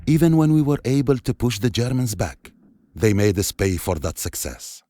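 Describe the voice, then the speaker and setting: An actor playing an Allied war veteran, narrating a desert campaign in solemn, reflective fashion for a video game. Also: male voice, mid-range voice, sing-song, normal speed, mellow, close to an everyday voice. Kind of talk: scripted cinematic narration.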